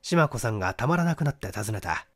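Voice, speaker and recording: A middle-aged man reading a literary mystery story as an expressive, dramatic audiobook narrator. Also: lowish voice, quick pace. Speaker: audiobook narrator, stage actor.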